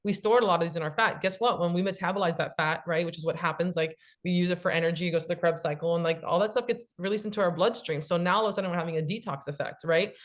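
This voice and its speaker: A woman in her late thirties talks in a quick, eager rush, piling on fillers as she explains. Her voice sits a little low for a woman. She sounds like a guest on a casual chat show. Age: 30s. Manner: fast, animated, many fillers, explanatory. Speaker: guest, cookbook author.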